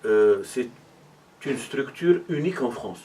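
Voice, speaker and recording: A middle-aged man from Morocco who works as a university arts and culture officer, answering interview questions in a measured, explanatory way, with many hesitations. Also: medium pitch, expressive, mellow, recorded from a distance.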